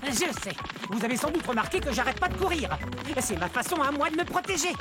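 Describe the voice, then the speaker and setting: A woman's voice: sits high, brisk, over loud music. A woman voicing a small, cheerful plant-eating dinosaur who chats directly to young viewers in a children's cartoon. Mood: chatty, proud. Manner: lively, addresses viewers directly.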